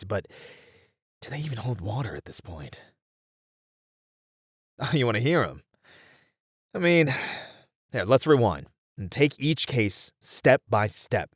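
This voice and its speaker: A man's voice: lowish voice, no echo, clean studio sound. A man playing a weary, frustrated detective in an ASMR roleplay, talking conversationally to a partner and thinking aloud. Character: weary, frustrated male detective venting about a serial case. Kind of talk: ASMR roleplay acting, spoken to an unheard partner.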